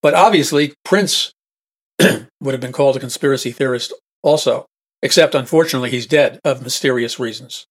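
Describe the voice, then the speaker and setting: A husky-voiced man hosts his own talk show, his throat hoarse and roughened. He preaches emphatically, circling back to repeat each point for the listener. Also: medium pitch, moderate pace. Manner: emphatic and repetitive, restating points. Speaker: radio show host. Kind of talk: preaching talk-radio monologue.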